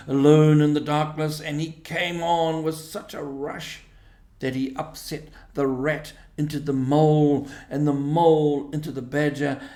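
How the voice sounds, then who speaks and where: A man narrating a storybook in a measured, expressive way. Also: fairly high pitch, reverberant. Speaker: audiobook reader.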